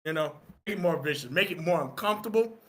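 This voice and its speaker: A man's voice: a little high, lively intonation, quick pace. A man giving opinionated feedback in a workshop discussion, emphatic and instructive, repeating his points to drive them home. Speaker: participant in a screenwriting critique.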